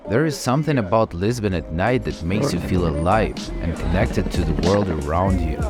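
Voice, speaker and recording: A man narrates a food travel video in a fairly low voice. He tells the story in a relaxed way, and music plays behind him. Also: normal speed. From Ukraine. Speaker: food vlogger. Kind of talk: scripted travel voice-over narration. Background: background music.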